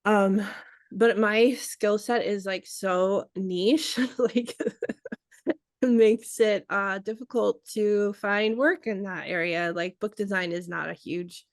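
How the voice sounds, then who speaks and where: A woman's voice: medium pitch, steady tone, slow pace, no echo, clean studio sound. A woman in her forties co-hosting a podcast, chatting casually with frequent laughs and restarts. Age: 40s. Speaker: podcast co-host. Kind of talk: casual chat.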